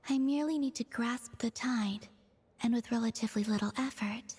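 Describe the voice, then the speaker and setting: A woman voicing a gentle, wistful otherworldly young moon maiden for a video game, speaking softly and breathily in measured, understated phrases. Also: fairly high pitch, breathy edge, in a large hall. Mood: quiet, wistful.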